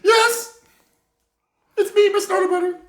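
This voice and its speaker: A man's voice: very high voice, steady tone, slow pace, a bit bright, heavily acted. A man voicing a forgetful elderly grandmother in an improvised comic radio mystery, rambling, defensive and melodramatic. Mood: rambling, flustered. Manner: rambling, self-justifying, melodramatic.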